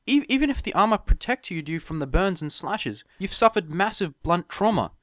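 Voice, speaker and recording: Man putting on a high, prim female pony medic. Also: very animated, moderate pace, fairly bright tone, dry recording, clean studio sound. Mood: concerned, exasperated. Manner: prim, exasperated. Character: Velvet Remedy, ladylike female pony medic, bossy, scolding. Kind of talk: audiobook character voice.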